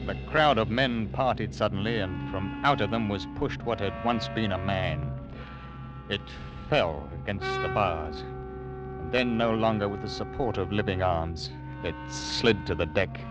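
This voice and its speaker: An actor playing a beleaguered male ship captain, narrating gravely in a 1940s radio drama. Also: fairly low pitch, a little slow, highs cut off, lightly acted. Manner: grave, tense, flaring into shouts. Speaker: narrator and lead. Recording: old radio broadcast with music underneath.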